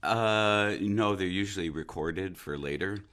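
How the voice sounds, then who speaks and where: A middle-aged American man, an actor being interviewed, talking casually in a halting, jovial way. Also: sits low, a bit bright. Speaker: stage actor, interview guest.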